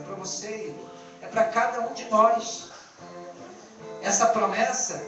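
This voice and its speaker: A man's voice: very high voice, a bit bright. Male preacher, Brazilian Portuguese accent, exhorting informally with rhetorical questions; dull, distant live-stream sound. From born in Angola, lived in Brazil. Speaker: pastor preaching to a church. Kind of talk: informal sermon. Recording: echoey distant band-limited live stream, music behind.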